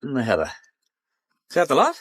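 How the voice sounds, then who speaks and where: An urbane gentleman detective with an upper-class English accent in a radio drama. His pitch swings widely as he needles people with breezy, teasing wit. The sound is close and dry. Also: huge pitch swings, fairly quick, slightly rough, no echo, exaggerated voice. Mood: light, cool. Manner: teasing, breezy, with drawled asides.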